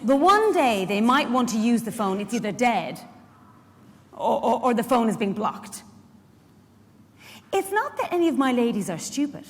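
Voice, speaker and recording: A woman's voice: on the high side, very animated, moderate pace, pauses often. An actress playing a busy, indignant care worker and mother in a chatty, emphatic stage monologue. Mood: chatty, explaining. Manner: chatty, emphatic, confiding asides to the listener. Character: Stella, busy, warm-hearted, indignant working mother and community care worker. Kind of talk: dramatic monologue reading performed live. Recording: echoey hall, live stage reading.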